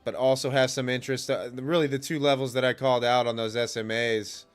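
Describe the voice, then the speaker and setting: A man with a mildly congested, stuffed-up nose and a somewhat low-pitched voice talks as a livestream host. He is laid-back and informal, rambling through market commentary and casual chat, with faint music behind him. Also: level tone, fairly quick, clean tone. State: stuffy nose and cough from a cold. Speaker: livestream host, futures day trader.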